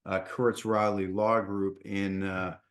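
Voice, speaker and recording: A man's voice: low-pitched, flat delivery, slow pace. A middle-aged man with a Boston accent hosting a casual interview podcast, often repeating words as he starts his sentences. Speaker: podcast host.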